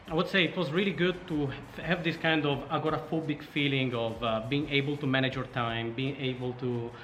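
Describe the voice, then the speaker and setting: A man's voice: mid-range voice, steady tone, brisk, fairly bright tone. Echoey Italian-accented man telling his story. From Sardinia, Italy. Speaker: startup co-founder, interview guest. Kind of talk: casual interview storytelling. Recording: echoey room, faint background noise.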